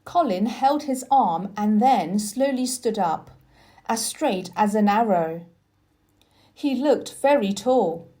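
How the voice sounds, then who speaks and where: A woman reading scripted narration for children like a teacher, deliberate and expressive. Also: medium pitch. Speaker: storybook reader, teacher.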